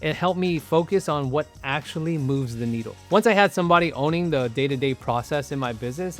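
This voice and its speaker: A middle-aged American man from the Bay Area giving casual, explanatory advice straight to the viewer as a content creator. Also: mid-range voice. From San Francisco Bay Area, US. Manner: conversational, explanatory, addresses viewer directly. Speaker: content creator, video host. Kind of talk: casual instructional talk to camera.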